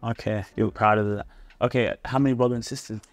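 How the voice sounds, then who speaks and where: Low, even-toned male podcast host. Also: fast, no echo. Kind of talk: casual interviewing.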